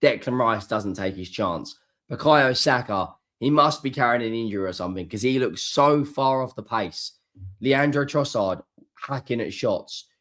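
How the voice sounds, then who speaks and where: A hoarse man hosts a solo football reaction, talking emphatically and often repeating key words for stress. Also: medium pitch, animated, normal speed, fairly bright tone. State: hoarse, coming down with flu. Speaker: football channel host. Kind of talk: solo post-match reaction.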